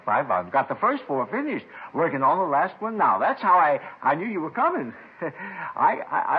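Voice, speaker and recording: A husky, swooping, paranoid recluse. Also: male voice, on the high side, full character voice. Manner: rambling, stammering, suspicious, repeating himself. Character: agitated, paranoid reclusive crank, self-styled doomsday author. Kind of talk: 1950s radio drama acting. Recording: muffled vintage 1950s radio recording.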